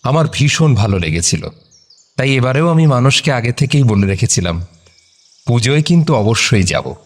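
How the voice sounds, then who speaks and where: A man's voice: medium pitch, very animated, moderate pace. A man playing a polite, modest photographer under questioning in a radio detective drama, slightly hesitant. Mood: modest, friendly.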